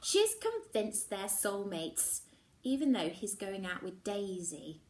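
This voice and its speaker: A woman teacher reading a novel aloud, expressive and slipping into whispers at tense moments. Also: medium pitch, slow, recorded from a distance. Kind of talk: storybook reading.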